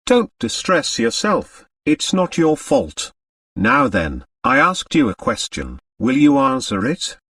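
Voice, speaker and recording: A man voicing a sardonic, carefree gentleman in an audiobook novel, with an easy mocking, ironically polite manner. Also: medium pitch, wildly swooping pitch, slow, dry recording, clean studio sound, recorded from a distance, full character voice. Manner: ironically polite, light and amused.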